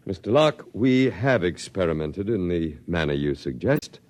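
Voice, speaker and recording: A calm elderly alien archivist. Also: male voice, deep voice. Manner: measured, coolly reasonable. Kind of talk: 1950s radio drama acting.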